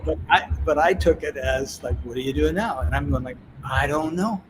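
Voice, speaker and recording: A man with a Canadian accent, a podcast host, telling a travel anecdote in lively conversation and acting out the people he quotes. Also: on the high side, normal speed, background noise. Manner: animated anecdotal telling, acts out quoted voices. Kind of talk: casual storytelling in conversation. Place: on a call from abroad. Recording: clear music playing behind.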